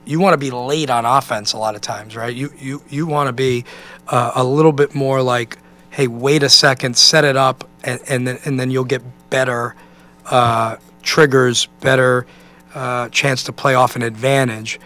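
An American man in his forties, a basketball coach answering press questions in a halting, conversational way, often saying "you know.". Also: mid-range voice, steady tone, pushed and bright. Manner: halting, thinking aloud, peppered with 'you know'. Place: indoor press conference room. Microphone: microphone on a stand at a table.

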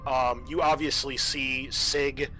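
A man narrating a tabletop roleplaying game as game master, explaining things in a halting, improvised way. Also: mid-range voice, little pitch movement, pushed and bright, rough recording.

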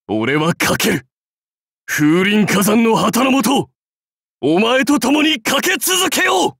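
An actor playing a proud, battle-hungry samurai warlord in a game, with grand, archaic, declamatory speech. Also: male voice, fairly low pitch, slow, dry recording, studio quality. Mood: fiery, exultant. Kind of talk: anime game voice lines.

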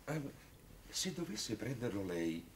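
A man playing a polite, measured parish priest in a 1960s Italian television drama. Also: mid-range voice, very animated. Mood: courteous. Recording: slightly noisy old 1960s TV soundtrack.

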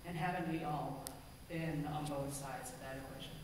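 A middle-aged woman minister preaching a sermon from a pulpit, measured and earnest, slowing down to stress key phrases. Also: low-pitched, fairly even intonation. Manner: measured, earnest, slows for emphasis. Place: large church sanctuary, at a pulpit. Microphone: pulpit gooseneck mic, far camera. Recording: echoey hall from far off, ambient noise.

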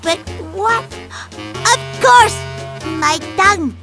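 An actor playing a dim, huffy cartoon egg who talks to itself in an excitable, childish, defensive way. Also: very high voice, extremely slow, exaggerated voice. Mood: excited. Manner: excitable, self-talking, defensive. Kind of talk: cartoon voice acting. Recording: music behind the voice.

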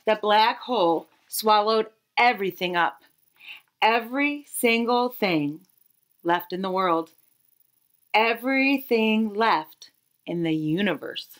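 A woman in her thirties reading a children's picture book aloud expressively, with dramatic pauses and emphasis. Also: medium pitch, expressive, a bit bright, a little hiss or hum. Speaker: read-aloud storyteller.

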